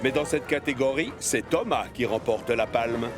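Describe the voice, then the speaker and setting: A man's voice: mid-range voice, moderate pace. A man narrating a scripted children's story. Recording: music behind the voice. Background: cartoon music.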